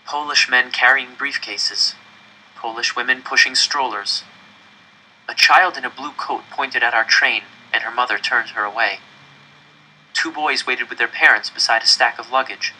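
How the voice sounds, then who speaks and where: A man reading a first-person novel aloud as a dramatic, deliberate and expressive narrator. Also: medium pitch, slight background noise, poor audio. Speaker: audiobook-style reader.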